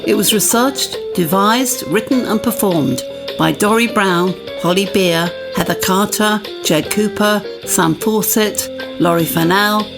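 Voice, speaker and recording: A woman's voice: medium pitch, unhurried, vocal fry, over music. A woman announcer reading scripted programme credits.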